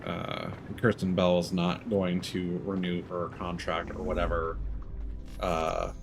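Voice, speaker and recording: A man's voice: deep voice, monotone, takes it slowly, noisy background. A man streaming a video game, giving casual, musing commentary in a conversational way.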